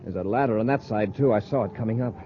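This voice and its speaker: An actor playing a decisive space patrol commander in 1950s radio adventure style, giving brisk orders. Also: male voice, lowish voice, natural voice. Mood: tense, calculating. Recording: dull, noisy old radio recording.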